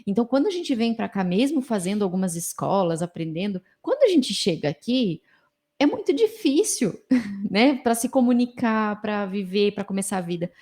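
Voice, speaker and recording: A woman's voice: medium pitch, very animated, normal speed, mellow. A woman from Brazil with a Brazilian Portuguese accent, hosting a podcast in a chatty way, with many fillers and affirming murmurs. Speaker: podcast host. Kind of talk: conversational podcast interviewing.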